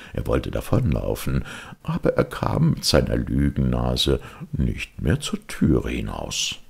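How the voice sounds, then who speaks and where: A man narrating a children's audiobook in an expressive, theatrical storytelling style. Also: a bass voice, vocal fry, husky.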